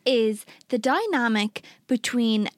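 A woman in her mid-twenties, co-hosting a podcast in relaxed, reflective conversation and laughing easily. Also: a little high, takes it slowly, no room sound, studio quality. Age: 20s. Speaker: podcast co-host. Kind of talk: casual chat.

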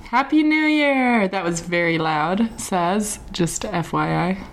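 A woman's voice: mid-range voice, lively intonation, slow, slight background noise, rough recording. A young British woman co-hosting a podcast, telling a story in a casual, rambling way with many ums and likes. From Britain. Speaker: podcast co-host. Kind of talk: casual chat.

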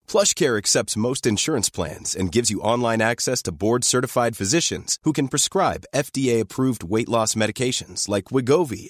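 A man reading a scripted advertisement in a smooth, measured promotional manner. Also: medium pitch, no echo, clean studio sound. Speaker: ad announcer. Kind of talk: scripted ad read.

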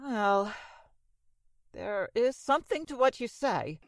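A woman's voice: fairly high pitch, sing-song, slow pace, no room sound, studio quality, heavily acted. A woman playing a prim, fussy but trusting building manager in a 1940s style radio drama, polite and proper. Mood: doubtful.

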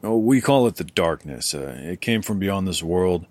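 A man plays a weary, guarded survivor in a sci-fi audio drama. His voice is low and recorded very dry and close. He talks in a hesitant, conversational way, like someone keeping a record. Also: animated, moderate pace, a little mellow, dry recording. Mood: grave, explaining.

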